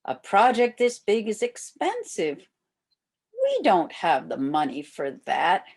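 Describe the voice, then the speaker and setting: Woman as slow, reluctant storybook landlord. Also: mid-range voice, wildly swooping pitch, darkish tone, slightly rough, heavily acted. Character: dismissive, reluctant male landlord in a children's storybook.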